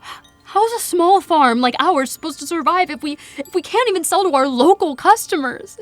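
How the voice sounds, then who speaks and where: A woman's voice: very high voice, expressive, normal speed, no room sound, soft background music. An actress playing a grieving, anxious young farm woman in an audio drama, suspicious and defiant. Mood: dismayed, weary.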